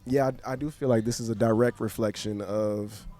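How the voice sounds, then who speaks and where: A young man hosting a podcast, answering in a thoughtful, measured, slightly hesitant way. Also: fairly low pitch, steady tone, mellow, soft background music. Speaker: podcast host. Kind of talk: conversational interview.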